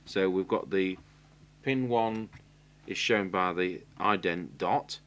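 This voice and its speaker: A middle-aged man with an English accent gives an unscripted hands-on technical tutorial, halting and matter-of-fact as he thinks aloud while working. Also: low-pitched, fairly bright tone. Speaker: radio repair technician giving a demonstration. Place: indoor electronics workbench. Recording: clear hiss and electrical hum.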